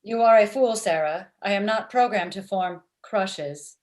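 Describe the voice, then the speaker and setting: A woman's voice: medium pitch, a little slow, darkish tone, close to an everyday voice. A woman playing a blunt, lovestruck robot matchmaker with stilted, literal speech in a comedy table read over video call. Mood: blunt, insistent. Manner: stilted, uncontracted, machine-like phrasing.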